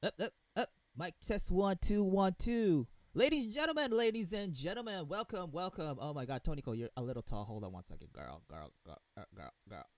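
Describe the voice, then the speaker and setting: A man's voice: sits high, expressive, a little fast, few pauses, dry recording. A man hosting a live stream with casual banter, playful and exclamatory as he hypes things up.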